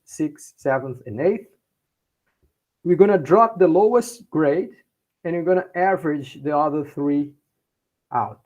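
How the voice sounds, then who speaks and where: A Brazilian professor with a Brazilian Portuguese accent, teaching a class in English, often checking in with "okay?" and repeating points in Portuguese. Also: male voice, a little high, darkish tone. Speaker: university professor. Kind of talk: bilingual class lecture.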